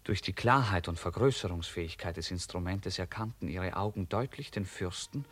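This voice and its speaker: A man narrating a literary radio play in a measured, formal storytelling manner. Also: low-pitched, a bit bright, dry recording.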